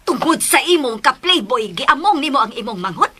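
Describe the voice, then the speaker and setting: A stern, scolding figure in Cebuano radio drama. Her high, bright voice swings with animation. She is accusing and no-nonsense, full of moral outrage. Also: very animated, moderate pace, slightly rough, full character voice. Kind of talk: melodramatic Filipino radio drama acting.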